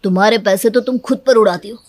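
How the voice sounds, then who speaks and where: A woman's voice: medium pitch, fast, darkish tone, no room sound, natural voice. A woman voicing a young husband with an emphatic, blunt manner in a cartoon story. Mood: stern, annoyed. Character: young husband, supportive but easily irritated.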